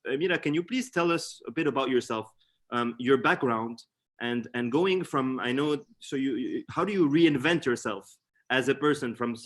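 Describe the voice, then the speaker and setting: A man hosting a webinar as interviewer, speaking semi-formally in a halting, hesitant way with many ums. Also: medium pitch, fairly bright tone.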